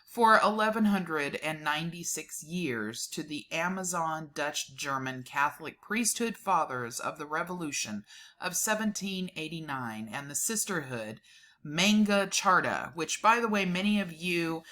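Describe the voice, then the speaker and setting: Low, bright-voiced woman reading aloud. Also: lively intonation, unhurried. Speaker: host reading a document aloud. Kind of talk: dramatic reading with informal asides.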